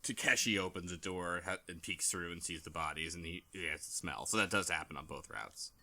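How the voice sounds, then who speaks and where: A man's voice: deep voice, brisk, pushed and bright. A man leading a casual podcast chat, rambling with lots of filler words. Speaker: podcast host. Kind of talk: casual chat recapping a visual novel.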